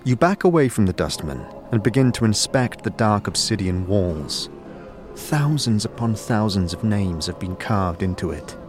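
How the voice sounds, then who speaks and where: A man with a low voice narrates a fantasy tale in scripted, second-person storytelling. Music plays clearly behind him throughout. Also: lively intonation, moderate pace.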